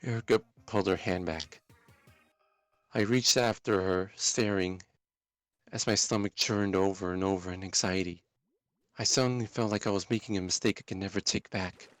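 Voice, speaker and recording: A man with a fairly low voice reads a dramatic first-person story. His narration is deliberate and intense, carrying plenty of emotion. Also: steady tone, a bit bright, no echo.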